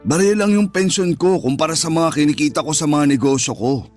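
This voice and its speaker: A man's voice: on the high side, a little fast, darkish tone, soft background music, close to an everyday voice. Actor as possessive rich Filipino businessman, radio drama. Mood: reassuring. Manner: controlling, alternately reassuring and threatening. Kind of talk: Tagalog radio drama acting.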